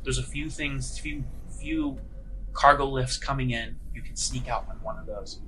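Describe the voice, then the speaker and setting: Man playing an exasperated, bright-voiced prison guard. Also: medium pitch, normal speed, a little hiss or hum, natural voice, low-quality recording. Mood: conspiratorial. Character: weary male prison guard, a grudging crooked ally.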